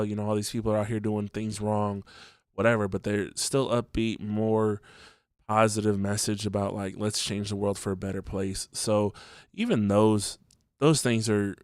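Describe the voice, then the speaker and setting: A man's voice: on the low side, darkish tone, clean tone, dry recording, studio quality. A man hosting his own podcast alone, talking casually with lots of ums and restarts, a little stuffy from allergies. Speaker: podcast host. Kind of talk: solo podcast monologue. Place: home, just woke up.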